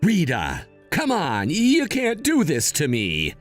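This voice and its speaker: A scheming, freeloading brother, played by a man in a story drama, sly and whiny. His voice is somewhat high and very lively, with soft music in the background. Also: slow pace, exaggerated voice. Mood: pleading. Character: scheming, lazy young adult brother.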